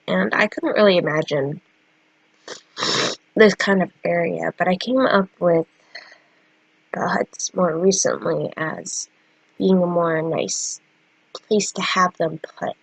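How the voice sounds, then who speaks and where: A young woman talks casually with a stuffy nose from a cold, rambling with lots of fillers. Her voice sits low and has a gravelly, husky edge. The recording is close and dry. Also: no room sound, poor audio. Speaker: artist vlogger. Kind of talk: casual chat.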